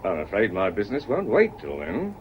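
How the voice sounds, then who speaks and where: A man's voice: lowish voice, wildly swooping pitch, a little fast. An actor playing a polite, persistent, unruffled man calling at a house, in a period radio mystery. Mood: polite, insistent. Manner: courteous, dryly firm. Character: polite, persistent, unruffled male evening caller. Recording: noisy, dull old radio recording.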